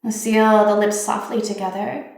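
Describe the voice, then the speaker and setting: A woman leading a guided meditation, giving gentle, soothing instructions in an unhurried way with pauses. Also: mid-range voice, flat delivery. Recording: very echoey, reverberant room.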